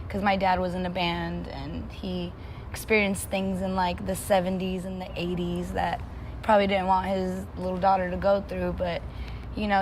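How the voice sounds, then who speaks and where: A woman's voice: mid-range voice, darkish tone, low-quality recording. A young woman born in Armenia and raised in Los Angeles, a music artist talking casually in an interview with upbeat, slang-heavy, laid-back speech. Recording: noticeable background noise.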